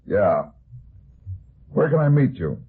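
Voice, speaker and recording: An actor playing a tough, weary private detective, terse and deadpan, narrating his case in a 1950s radio drama. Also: medium pitch, slow, vocal fry, slightly rough, full character voice. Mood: terse, guarded. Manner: terse, hard-boiled, deadpan. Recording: tinny 1950s radio recording with music.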